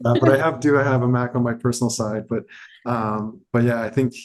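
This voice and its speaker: A man's voice: mid-range voice, fairly even intonation, fairly quick. A man co-hosting a podcast interview whose first language is French, talking in a rambling, hedging way. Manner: rambling, hedging with fillers and restarts. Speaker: podcast co-host. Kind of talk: casual conversational interview.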